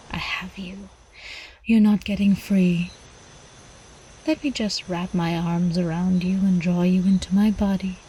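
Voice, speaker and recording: A woman playing a predatory older vampire countess in an ASMR roleplay, haughty, teasing and possessive, with breathy drawling speech that often drops into whispers. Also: mid-range voice, warm tone, slight background noise, poor audio. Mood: coaxing, possessive. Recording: faint night insect ambience behind.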